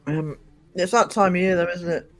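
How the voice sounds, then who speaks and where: A man, croaky from just waking up, rambling chattily to his live-stream viewers from home and greeting them by name. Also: sits high, moderate pace. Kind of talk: casual live-stream chat. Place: indoors at home. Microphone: desk microphone.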